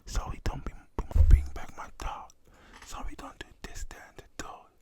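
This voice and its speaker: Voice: normal speed, close to an everyday voice. A storyteller whispering the lines of a vengeful man keeping a grim promise. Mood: cold.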